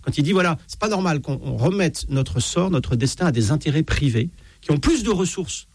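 A man, a priest, talking as a guest on a French talk show, animated and full of restarts and anecdotes. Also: fairly high pitch, moderate pace, breathy edge, no room sound. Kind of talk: casual talk show conversation.

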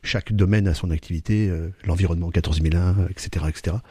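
Low, dark-voiced man, halting. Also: fairly even intonation, husky, no room sound. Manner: hesitant, many fillers and restarts. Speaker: company executive, guest. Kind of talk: interview answers.

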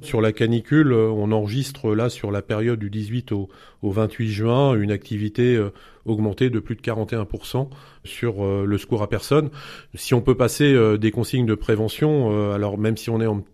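A fire service official gives heat-wave safety advice in a radio interview. His voice is low and mellow, and he talks haltingly, filling gaps with hesitations. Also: fairly even intonation. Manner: hesitant, many fillers.

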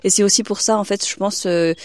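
A woman's voice: medium pitch, fairly even intonation, brisk, darkish tone, no room sound. Hesitant woman from China, dry sound. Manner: many fillers and repetitions. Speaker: religious sister. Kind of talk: radio interview, hesitant speech.

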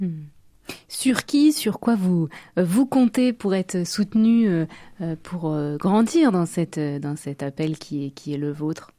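A woman hosting a radio interview, asking questions in a gentle, measured way. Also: fairly low pitch, expressive. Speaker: radio host.

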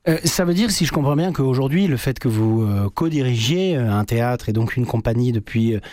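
A man's voice: mid-range voice, lively intonation, brisk, a little mellow, dry recording. A man hosting a radio interview, asking long, winding questions full of fillers. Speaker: radio host.

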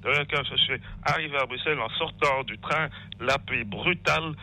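A man calling in to a radio show, rambling and halting, often restarting his sentences. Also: fairly high pitch, sharp tone, low-quality recording. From Brussels area. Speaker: caller.

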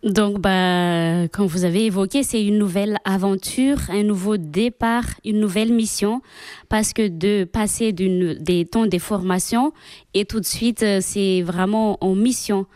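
A religious sister from Madagascar, a Malagasy speaker, answering interview questions in French with many pauses and fillers. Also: female voice, mid-range voice, fairly even intonation, a little mellow, no room sound, clean studio sound. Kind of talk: radio interview.

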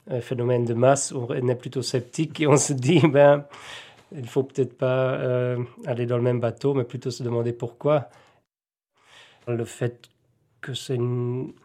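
Male theatre artist, deliberate and reflective, monotone and warm. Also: mid-range voice. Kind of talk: interview answers.

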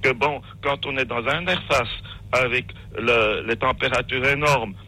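A man phones in to a radio show, his bright, forceful voice stumbling through restarts as he rambles along. His comes through the broadcast phone-in sound. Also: mid-range voice, rough recording. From Belgium. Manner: rambling, halting, many restarts. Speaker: caller. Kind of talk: phone-in call. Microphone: phone line.

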